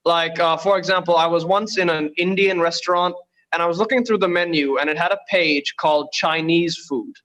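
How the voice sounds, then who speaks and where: A man's voice: fairly high pitch, fairly even intonation, brisk, a bit bright, poor audio. A man from Bangladesh doing conversational stand-up, hesitant and understated. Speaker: stand-up comedian.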